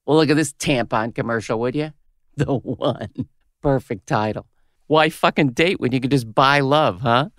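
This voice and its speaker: A woman in her fifties playing a crude, cynical radio host with a smoker's gravelly voice in a comedy audio drama. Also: rumbling low, normal speed, dry recording, clean studio sound. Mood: brash, cynical. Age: 50s. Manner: brash, foul-mouthed, switching to syrupy on air. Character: crude, cynical, hard-bitten 57-year-old female radio host.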